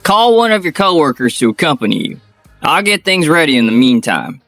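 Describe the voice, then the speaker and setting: A man voicing a young surgeon in a manga dub, talking in a firm, scolding but concerned way, in a higher voice than his own. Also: sing-song, a little fast, a bit bright, faint music behind. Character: firm, caring young male surgeon friend.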